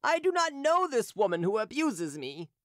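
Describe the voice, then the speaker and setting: A cool-headed schemer pleading his case in a raised, placating voice, as a reader puts him on. His tone stays smooth and conciliatory, with a lively rise and fall, recorded close and dry. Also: mid-range voice, normal speed, dry recording, voice pushed up. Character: scheming man, smooth and conciliatory under pressure.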